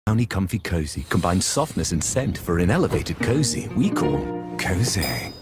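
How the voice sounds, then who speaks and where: A man's voice: low-pitched, sing-song, normal speed. A man reads a scripted commercial voice-over for an advertisement. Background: loud music.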